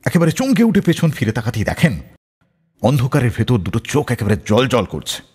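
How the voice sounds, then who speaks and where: A husky-voiced man hosts a radio show, telling tales theatrically. His pitch swings widely as he builds suspense, and long reverb trails behind his words. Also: big pitch swings, a little fast, darkish tone. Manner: theatrical, suspenseful delivery, acting out quoted lines. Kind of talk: dramatic radio storytelling between songs.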